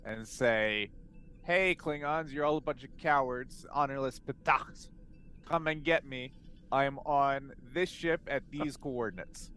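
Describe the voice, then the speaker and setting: A man talking casually as a player in a tabletop game, informal and thinking out loud. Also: mid-range voice, a little slow, slight background noise.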